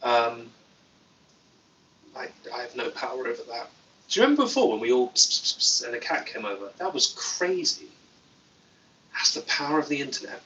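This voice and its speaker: A man hosts a relaxed livestream, reading comments with deadpan, playful humor. His voice is very bright and sharp, at a middle pitch, picked up a little away from the mic. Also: normal speed, pauses often, far from the mic, a little hiss or hum, low-quality recording. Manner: deadpan, playful, jokey asides. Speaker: YouTuber livestream host.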